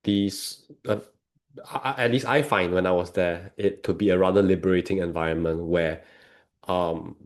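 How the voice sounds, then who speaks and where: Man, deep low voice, coach as interview guest, measured, explanatory, conversational. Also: steady tone. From Singapore. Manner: measured, explanatory, checks with "right?".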